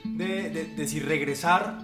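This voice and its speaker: Echoey interviewer over loud guitar. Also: male voice, on the high side, takes it slowly. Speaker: podcast co-host. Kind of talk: conversational interviewing. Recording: roomy echo under loud music. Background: loud guitar music.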